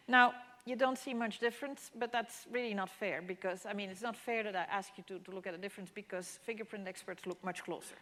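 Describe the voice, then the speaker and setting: A woman's voice: mid-range voice, a bit bright. A woman whose first language is Dutch, giving a public mathematics lecture with slides and explaining things with frequent restarts and fillers. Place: lecture hall. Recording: long hall reverb.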